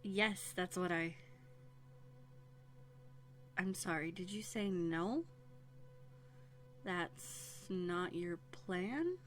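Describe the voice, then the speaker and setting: A proud young runaway princess, acted by a woman in an audio roleplay, with a slightly low female voice. She talks primly and formally, guarded and quick-tongued, with dramatic hesitations over background music. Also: expressive. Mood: skeptical, challenging. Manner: prim, formal, indignant phrasing with dramatic hesitations. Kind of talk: scripted audio roleplay acting. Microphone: close USB studio microphone.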